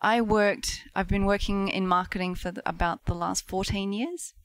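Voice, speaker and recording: A woman's voice: mid-range voice, fairly even intonation, normal speed, clean tone, no room sound. A middle-aged Australian woman, a podcast guest in casual chat, upbeat and self-deprecating with many ums. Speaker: guest, marketing lead.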